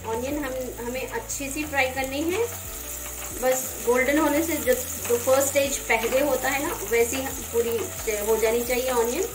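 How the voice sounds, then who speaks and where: Home cook, woman, fairly high voice, easy instructive delivery; roomy kitchen with frying sizzle. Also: steady tone, normal speed, noisy background. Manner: gentle, instructive, conversational. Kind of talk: cooking demonstration voice-over. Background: sizzling frying pan.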